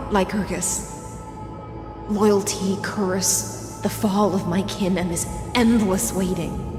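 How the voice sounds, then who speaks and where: An actress playing a weary, sorrowful and proud siren warrior in a video game, speaking solemnly and dramatically. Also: female voice, mid-range voice, natural voice. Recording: echoey hall reverb under music.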